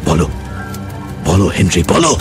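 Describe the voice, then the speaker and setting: A man plays a cold, relentless tormentor in an audio drama. His voice is very deep, and he presses his accusations in a deliberate, taunting way. Music runs clearly underneath. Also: big pitch swings. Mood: relentless, menacing. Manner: deliberate, taunting, insistent questioning. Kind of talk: audio drama acting, Bengali mixed with English.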